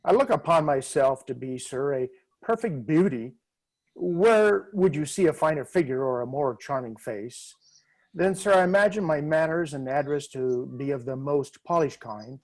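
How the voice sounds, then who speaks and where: A man playing a pompous vain young gentleman. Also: on the high side, lively intonation, normal speed, poor audio. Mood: haughty. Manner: pompous and haughty, lingering on words. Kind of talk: dramatic reading over video call. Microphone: video call.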